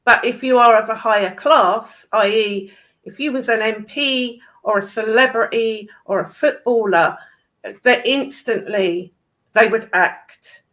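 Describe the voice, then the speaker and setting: A woman's voice: fairly high pitch, unhurried, a bit bright, rough recording. A woman in casual discussion, speaking in a blunt, opinionated way.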